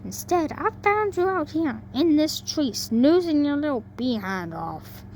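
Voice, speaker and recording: A woman's voice: sits high, very animated, a little slow, voice pushed up. A woman voicing a brash, exasperated but caring cowgirl pony in a story reading, with a folksy, scolding manner. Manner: folksy, scolding, calling out loudly. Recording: noisy amateur home recording.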